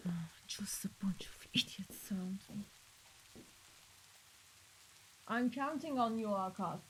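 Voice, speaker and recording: Woman playing a mellow-voiced, commanding mafia boss. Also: mid-range voice, moderate pace, slight background noise, natural voice, rough recording. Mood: weary, exasperated. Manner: clipped, authoritative orders. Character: commanding, exacting, impatient female mafia boss. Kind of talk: scripted audio roleplay acting.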